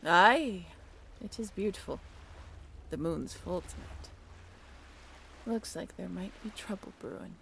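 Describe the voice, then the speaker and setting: Woman playing a hushed, dreamy old-time sailor girl. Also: lowish voice, slow, vocal fry, long pauses, a little hiss or hum, full character voice. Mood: quiet, foreboding. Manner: soft-spoken, archaic sailor phrasing, wistful. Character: hushed, dreamy, ambitious young sailor woman from olden days. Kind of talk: period seafaring roleplay audio drama.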